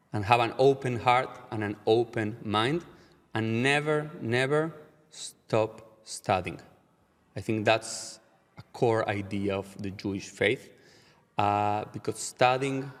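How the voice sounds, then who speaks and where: A rabbi with an Argentine Spanish accent speaks English in a measured, reflective way, slowing down to weigh his words and checking in with an "okay?". His voice sits in the middle range for a man. A long reverb trails behind him, as if he were recording in a hall. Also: medium pitch. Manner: measured, slows down to stress key ideas, frequent "uh" and "okay?". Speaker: rabbi, guest. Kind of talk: reflective interview answers.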